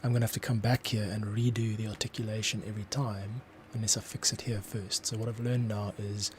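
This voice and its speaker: A man's voice: lowish voice, level tone, fairly quick, a little hiss or hum. A man, a composer, talking through his scoring process as he works, thinking aloud. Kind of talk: thinking aloud tutorial commentary.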